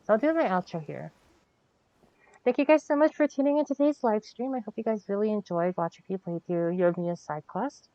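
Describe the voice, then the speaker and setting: A woman's voice: medium pitch, expressive, fairly quick, dark tone, no room sound, muffled recording. A woman chatting casually on a game livestream, thinking aloud as she plays and often correcting herself. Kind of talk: casual livestream gameplay chat. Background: video game music.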